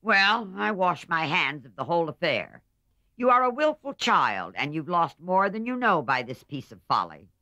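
A man reading aloud, voicing a stern, disapproving old aunt in a sharp manner. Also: a little high, animated, moderate pace, pitched above the performer's own voice. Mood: indignant. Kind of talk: audiobook character voice. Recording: slightly muffled dry recording.

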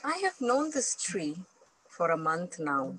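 Slow-speaking woman in a roomy, distant recording. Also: lowish voice, big pitch swings, fairly bright tone, slight background noise. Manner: measured, deliberate short phrases. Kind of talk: reflective personal storytelling, performed to camera. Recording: roomy, distant microphone via video playback.

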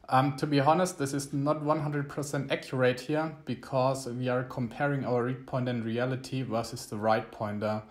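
A young man, not a native English speaker, giving a halting, explanatory screencast tutorial. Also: medium pitch. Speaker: electronics tutorial host.

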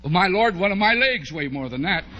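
A middle-aged American man preaching, telling a humorous story to a congregation in an animated way with drawn-out emphasis. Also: high voice, lo-fi sound, background noise. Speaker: preacher, bishop. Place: large church hall, at a pulpit before a congregation. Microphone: podium microphone on a stand.

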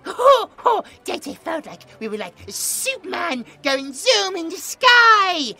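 A man's voice: extremely high pitch, sing-song, slow, pushed and bright, heavily acted. A British man voicing a childlike, innocent yet eerie possessed young man who speaks of himself in the third person with baby talk, in an audio roleplay. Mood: giddy excited. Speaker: voice actor.

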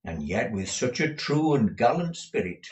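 A man voicing an eccentric, kindly, gruff storybook man who mutters in broken phrases and repeats his words. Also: mid-range voice, sing-song, normal speed, darkish tone, roomy sound, natural voice, rough recording. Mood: fond.

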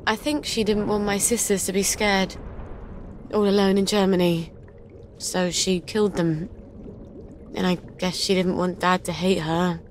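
A guarded young woman played by an actress in a video game, speaking at a middling pitch. She is plain-spoken, stoic and subdued, with sorrow held back. Faint ambience sits behind her. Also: a little hiss or hum, close to an everyday voice. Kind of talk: video game cutscene acting.

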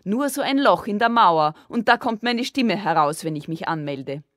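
A woman's voice: on the low side, lively intonation, unhurried, no room sound, studio quality. Storybook small daughter, voiced by a female narrator: earnest, offended, insistent about her wild tales.

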